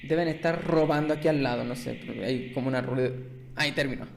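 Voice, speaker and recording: A man's voice: medium pitch, fast, vocal fry. Man from Chile, podcast host, laughs often, video call, faint hiss. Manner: laughs often, jokes, restarts words. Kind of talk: casual interview over video call.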